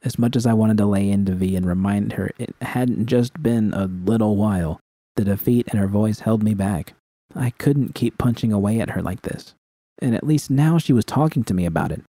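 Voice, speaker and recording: A man's voice: on the low side, normal speed, dark tone, dry recording. A man reading a first-person dramatic story as its narrator. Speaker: narrator reading fan fiction.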